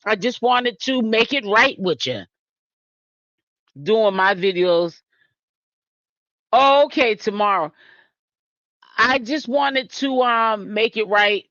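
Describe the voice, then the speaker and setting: Chatty live-stream host from Boston, man, high register, dull lo-fi audio. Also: takes it slowly, long pauses, sharp tone, dry recording. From Boston, Massachusetts. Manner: chatty, talks straight to viewers in chat. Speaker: YouTube commentary host. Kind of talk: casual live-stream commentary.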